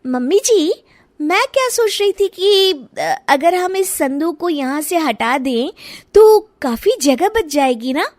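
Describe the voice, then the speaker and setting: Woman voicing a young daughter-in-law, high pitch, cartoon story, expressive, sweet-talking, sassy, close dry recording. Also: takes it slowly, no echo, exaggerated voice. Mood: coaxing. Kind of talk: animated Hindi moral story acting.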